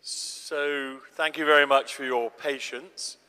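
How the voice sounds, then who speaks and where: A man's voice: mid-range voice, steady tone, slow, pushed and bright, clear, resonant tone. A man giving an informal, explanatory public talk as a translator, restarting his phrases as he goes. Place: large church, addressing an audience. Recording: long echoey church reverb.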